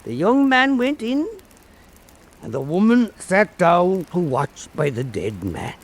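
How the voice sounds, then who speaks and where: A man playing an old Irish island storyteller, telling an eerie fireside tale in a deliberate, theatrical way for radio drama. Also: high voice, very animated, heavily acted. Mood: eerie, measured.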